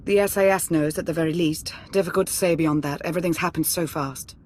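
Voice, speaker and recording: A woman's voice: low voice, fairly even intonation, fast, darkish tone, slight background noise, close to an everyday voice. An actress playing a cool, commanding female Sith intelligence minister in a video game, clipped and authoritative. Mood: businesslike. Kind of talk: video game cutscene acting.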